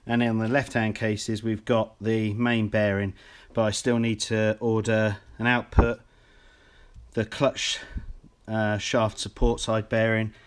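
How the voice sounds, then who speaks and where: A man's voice: lowish voice, little pitch movement, measured pace. Guy with a cold, bit nasal, talking thru his engine build in an echoey garage. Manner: methodical, explanatory, with fillers. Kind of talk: workshop how-to vlog.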